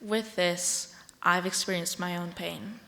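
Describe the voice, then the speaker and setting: A teenage young woman with an American accent gives an earnest, deliberate prepared speech to the public. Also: on the low side, fairly even intonation, pushed and bright, slight background noise. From Washington State, United States. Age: teens, about sixteen. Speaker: youth advocate and speaker. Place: large indoor hall, speaking from a stage. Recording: echoey hall with long reverb.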